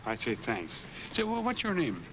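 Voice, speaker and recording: An actor playing a guarded, edgy drifter in a 1940s crime film, speaking in a clipped, brusque way. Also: male voice, medium pitch, wildly swooping pitch, normal speed. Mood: edgy, brisk. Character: edgy, evasive male drifter, guarded and brisk. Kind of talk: 1940s film noir acting. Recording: muffled old film soundtrack, faint noise.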